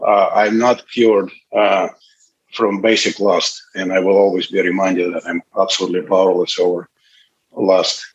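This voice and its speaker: A man in his late fifties from Russia, speaking, gives a candid, halting personal testimony at a recovery meeting. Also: deep voice, highs cut off. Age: 50s. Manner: candid, halting, pauses often.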